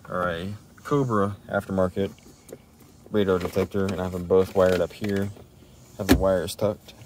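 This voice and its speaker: Deep-voiced man giving a casual walkthrough. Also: slight background noise. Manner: informal, explanatory, step-by-step. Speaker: DIY car video presenter.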